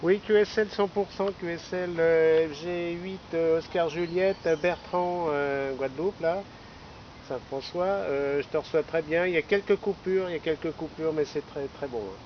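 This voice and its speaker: Male radio hobbyist, slightly high voice, rambling and chatty, recorded outdoors with muffled, lo-fi sound. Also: normal speed. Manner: chatty, rambling, tagging phrases with hein and là. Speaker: amateur radio operator. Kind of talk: casual amateur radio chat. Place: outdoors in a city park. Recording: dull, lo-fi outdoor recording with noise.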